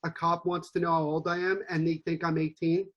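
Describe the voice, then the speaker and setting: A man's voice: a little high, brisk, highs cut off. A man in his twenties co-hosting a podcast, chatting casually and acting out the people in his stories. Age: 20s. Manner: animated storyteller, acts out quotes. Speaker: podcast co-host. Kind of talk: casual chat.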